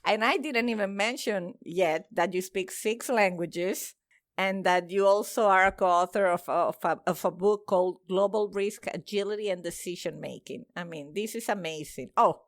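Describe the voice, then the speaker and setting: A woman's voice: medium pitch, normal speed, dry recording, clean studio sound. A woman hosting a podcast interview, gushing with praise and often restarting her sentences. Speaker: podcast host.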